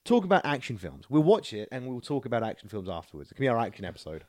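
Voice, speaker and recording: A man's voice: medium pitch, big pitch swings, rapid-fire, darkish tone, dry recording, clean studio sound. A man co-hosting a podcast, chatting casually about entertainment in a rambling, sweary way. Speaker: podcast host. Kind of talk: casual film and video game chat.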